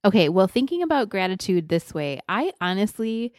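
A woman's voice: mid-range voice, very animated, normal speed, darkish tone, no echo, clean studio sound. A woman co-hosting a podcast, talking in a casual, conversational way. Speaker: podcast co-host. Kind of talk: casual chat.

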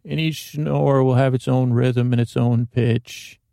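A man's voice: mid-range voice, fairly even intonation, a little slow, vocal fry, warm tone, no echo, studio quality. A man hosting a sleep podcast, rambling through a meandering bedtime monologue and stumbling over words on purpose. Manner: rambling, self-interrupting, botches words on purpose.

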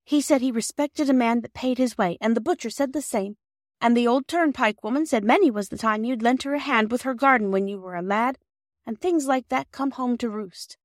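A woman's voice: a little high, fairly quick, dry recording, clean studio sound, pitched above the performer's own voice, natural voice. A woman voicing an earnest, eager, well-spoken young girl in a storybook, talking in breathless runs. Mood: earnest, pleading.

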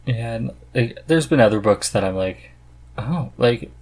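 A man's voice: mid-range voice, animated, measured pace, darkish tone. A man hosting a casual podcast conversation, speaking in an earnest, enthusiastic way. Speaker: podcast host.

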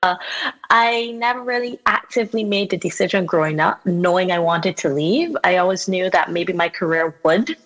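A woman's voice: fairly low pitch, normal speed, a bit bright, rough recording. Thoughtful woman from Barbados. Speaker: podcast guest, visual artist. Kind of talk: casual conversation. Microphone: remote recording from home setup.

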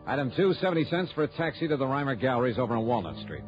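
A man acting a freelance insurance investigator in a 1960s radio drama. He is American, clipped and colloquial, rattling along quickly. The old broadcast recording sounds dull. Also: mid-range voice, sing-song, music in the background, lightly acted. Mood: brisk narrating.

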